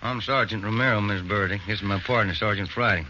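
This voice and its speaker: An actor playing a straightforward, colloquial police detective sergeant, the working partner in a 1940s radio crime drama. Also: male voice, lowish voice, quick pace, bright, forceful tone. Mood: alert. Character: plain-spoken male police detective, eager working partner. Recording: dull, noisy old radio recording.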